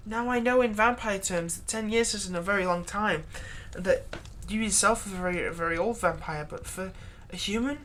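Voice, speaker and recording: A woman playing a long-serving, exasperated human servant of a vampire in a dramatic roleplay monologue, halting and sardonic. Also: medium pitch, fairly bright tone, slight background noise, close to an everyday voice. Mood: frustrated, pleading. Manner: conversational, halting, sardonic, emphatic when aggrieved. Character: long-serving human thrall, exasperated, pleading, turning menacing toward vampire master. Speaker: roleplay audio performer.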